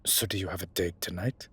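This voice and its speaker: A man playing a low-key investigator in a roleplay audio drama, speaking quietly and laconically with dry teasing. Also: slightly rough, dry recording, exaggerated voice.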